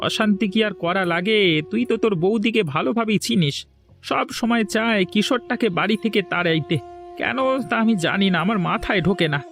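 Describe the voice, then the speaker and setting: Henpecked village father for audio melodrama played by a man: high male voice, plaintive, worried, resigned, music underneath. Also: fairly quick, vocal fry, a bit bright. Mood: troubled. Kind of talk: melodramatic Bengali audio story acting.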